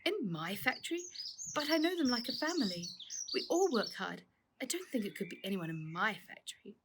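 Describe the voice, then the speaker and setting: A man's voice: high voice, sing-song, a little fast, noisy background. A woman voicing a troubled, kindly uncle, a factory owner on the run, in an earnest storybook reading. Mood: troubled, puzzled. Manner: earnest, troubled, exclaiming in surprise.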